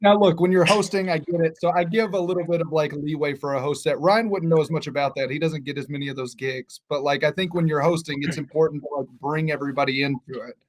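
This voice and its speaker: A man's voice: a little high, fairly even intonation, fast, hardly any pauses. An American man co-hosting a comedy podcast, chatting casually with dry, mocking humour. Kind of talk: casual teasing chat.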